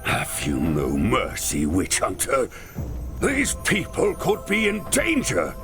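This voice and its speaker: A man's voice: medium pitch, wildly swooping pitch, slow pace, over music. A man voicing a weary, worried male leader of a forest folk in a video game, earnest and grave. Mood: pleading. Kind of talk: video game cutscene voice acting.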